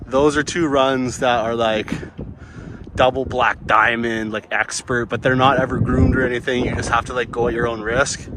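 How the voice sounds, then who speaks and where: A man's voice: medium pitch, level tone, normal speed. Laid-back guy, noisy outdoor recording. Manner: laid-back, slangy, musing. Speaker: vlogger snowboarding at a ski hill. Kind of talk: casual rambling chat, slangy. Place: outdoors on a ski slope and chairlift. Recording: clear outdoor ambient noise on ski hill.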